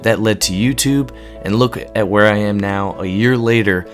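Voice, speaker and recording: A man in his twenties with a hoarse voice, monotone and rambling, talking earnestly and unscripted to his viewers. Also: lowish voice, normal speed, soft background music. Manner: rambling, earnest, many ums. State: hoarse, voice going from illness. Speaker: gaming YouTuber. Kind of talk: unscripted casual talk to camera.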